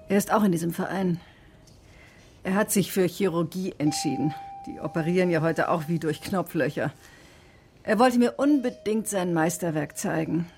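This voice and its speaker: A woman's voice: lowish voice, a little mellow, a little hiss or hum, lightly acted. An actress playing a composed, probing woman in a naturalistic radio drama, speaking politely and persistently. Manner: polite, measured, persistent questioning. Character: composed, probing woman questioning an old man.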